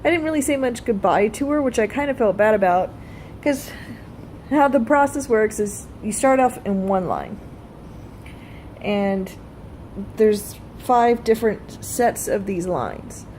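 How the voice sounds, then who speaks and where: A woman's voice: on the high side, expressive, measured pace, a little mellow, a little hiss or hum, low-quality recording. A woman telling a personal story in a casual vlog style, blunt and freely swearing. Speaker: vlogger.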